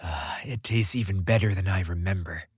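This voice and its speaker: A man's voice: deep voice, normal speed, husky, dry recording, studio quality, voice pushed down. A man voicing an earnest, proud young researcher in a storybook romance, wholehearted in manner. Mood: delighted.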